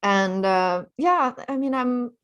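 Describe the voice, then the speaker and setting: A woman author, a native Chinese speaker born in China, telling her travel story in a relaxed interview, full of "you know" fillers. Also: fairly high pitch, takes it slowly, clean tone. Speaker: author guest.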